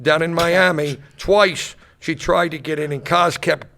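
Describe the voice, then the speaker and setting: A man's voice: fairly high pitch, steady tone, a little slow, gravelly, pushed and bright. A man hosting a comedy podcast, trading insult jokes and ribbing his guests in loose banter. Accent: New Jersey. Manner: roasting insult-comic jokes. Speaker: podcast host.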